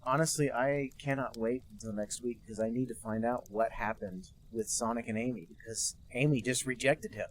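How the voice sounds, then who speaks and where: A young adult man co-hosting a casual podcast, dropping dry, deadpan quips. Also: medium pitch, normal speed, hardly any pauses, low-quality recording. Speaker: podcast co-host. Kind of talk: casual chat.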